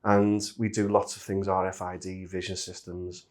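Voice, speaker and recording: A man's voice: deep voice, flat delivery, normal speed, a little mellow. A middle-aged man from Liverpool with a Liverpool accent, chatting as a guest in a casual interview. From Liverpool, England. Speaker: interview guest, industrial safety manager.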